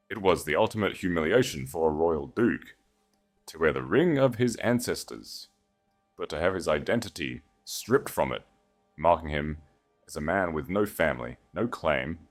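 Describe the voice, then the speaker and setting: Very low male voice, audiobook narration, measured and solemn, lively intonation, faint music underneath. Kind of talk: scripted dramatic fiction narration.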